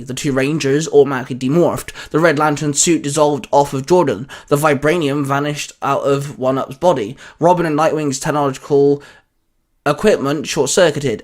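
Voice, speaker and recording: A man reading scripted fan fiction as a story narrator. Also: fairly high pitch.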